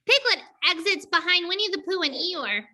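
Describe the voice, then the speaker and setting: A woman narrates the stage directions of a play reading. Her voice is extremely high and piercingly bright, delivering scripted action plainly. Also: expressive, normal speed.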